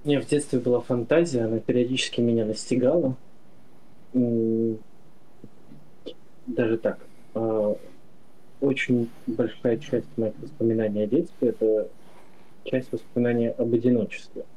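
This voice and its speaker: A man co-hosting a podcast, recalling things in a relaxed, reflective way with thoughtful hums and pauses. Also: medium pitch. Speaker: podcast co-host. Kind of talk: casual reminiscing chat. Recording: slightly dull lo-fi sound.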